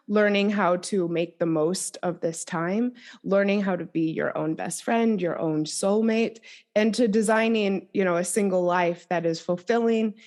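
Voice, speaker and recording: A woman's voice: on the low side, darkish tone. A woman speaking as a coach and podcast guest, explaining her approach conversationally and thoughtfully.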